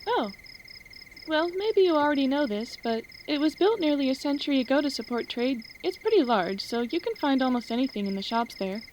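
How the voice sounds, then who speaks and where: High-voiced, teasing young ranch girl played by a woman. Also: fairly quick, a little hiss or hum, rough recording. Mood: wistful, chatty. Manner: chatty, expressive, teasing. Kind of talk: audio drama acting.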